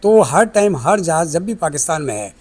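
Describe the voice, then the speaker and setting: A Pakistani-accented man with a high-pitched voice gives explanatory interview answers as an expert. He lays things out step by step, dropping English technical terms into his sentences. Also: very animated, fairly quick, a little mellow. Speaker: air traffic control chief, aviation expert. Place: air traffic control centre.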